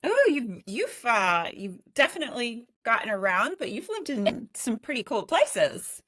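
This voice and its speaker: A woman's voice: on the high side, very animated, unhurried, a bit bright, no echo. A woman hosting an interview in an informal, conversational way, affirming what her guest says. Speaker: interview host.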